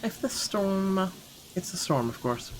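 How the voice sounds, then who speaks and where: Man reading as indignant rich gentleman, noisy ambience. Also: high-pitched, a little slow. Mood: tense, irritated. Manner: indignant, expressive, stumbles over the script. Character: wealthy irritable British man narrating his inner thoughts. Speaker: gaming channel host. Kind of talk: dramatic read-aloud of visual novel narration.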